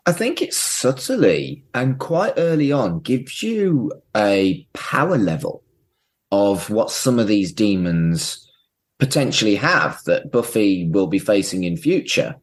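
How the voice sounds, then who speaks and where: Male podcast host, lower-pitched with very animated intonation, casual and blunt in delivery. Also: slow pace. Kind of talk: casual episode discussion.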